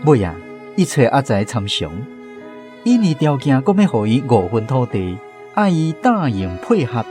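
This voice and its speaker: A man's voice: mid-range voice, very animated, normal speed, dark tone, soft background music. A man with a Taiwanese accent narrating a radio drama in a dramatic storytelling style.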